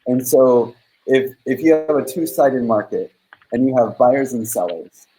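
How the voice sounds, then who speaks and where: A man's voice: mid-range voice, animated, unhurried, warm tone, rough recording. A man hosting a casual livestream over a video call, talking loosely with lots of fillers. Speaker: host.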